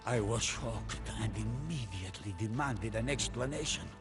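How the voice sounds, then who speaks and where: A man playing a soldier who recounts a mysterious tale as a solemn, theatrical, measured game narrator. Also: fairly low pitch, expressive, lightly acted. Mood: intrigued. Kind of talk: dramatic scripted storytelling. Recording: studio narration under prominent music.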